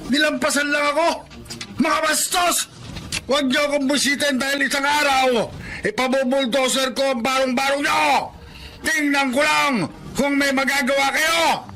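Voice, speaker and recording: Shrill shouting bully villain. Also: male voice, fairly even intonation, moderate pace, exaggerated voice. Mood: furious. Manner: shouting, contemptuous. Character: cruel, bullying, hot-tempered neighborhood male villain. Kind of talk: Filipino radio-style drama acting.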